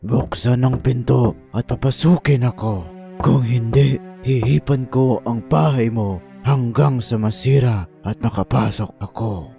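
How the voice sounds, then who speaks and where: Isang babaeng gumaganap bilang gutom at mapagbantang lobo sa kuwentong pambata, mabagal at mapaghingi magsalita, may musika sa likod. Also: female voice, a bass voice, creaky, dark tone, pitched below the performer's own voice, full character voice. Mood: menacing demand. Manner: slow, threatening, demanding. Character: hungry, menacing male big bad wolf. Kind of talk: children's fairy tale character acting. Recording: music behind the voice.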